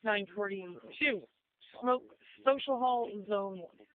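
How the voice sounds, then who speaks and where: A woman's voice: mid-range voice, takes it slowly. A woman working as a county fire dispatcher, relaying and repeating details in a clipped, procedural way over radio. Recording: tinny narrowband emergency radio.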